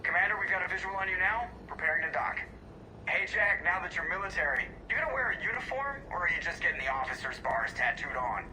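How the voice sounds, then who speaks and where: Joker, a wisecracking pilot on comms. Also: male voice, sits high, expressive, pushed and bright, slight background noise, heavily acted, poor audio. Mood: wry. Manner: quick, sarcastic teasing. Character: wisecracking male starship pilot.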